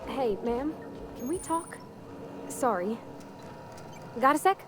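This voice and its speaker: A woman's voice: sits high, lively intonation, slow pace, over loud music. An actress playing an earnest, shy young woman mechanic in a frontier colony video game, speaking in a hesitant, folksy, polite way. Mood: nervous, earnest.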